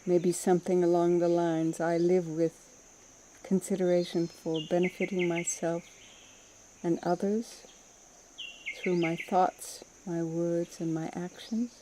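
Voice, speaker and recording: A woman meditation teacher leading a guided mindfulness practice in a soothing, unhurried manner, with gentle pauses between phrases. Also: on the low side, level tone, noisy background.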